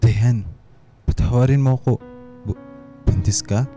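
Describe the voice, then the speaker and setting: Radio drama: remorseful husband and father-to-be, male actor, medium pitch, earnest, emotional, prominent music underneath. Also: steady tone, a little slow, dark tone, natural voice. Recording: music clearly behind.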